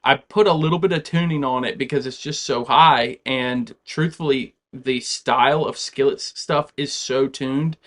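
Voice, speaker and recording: Relaxed man chatting through a tutorial. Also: medium pitch, a little slow, hardly any pauses. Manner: relaxed, chatty, loose explanations with frequent "like". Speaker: home musician explaining a song recreation. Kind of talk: casual tutorial walkthrough.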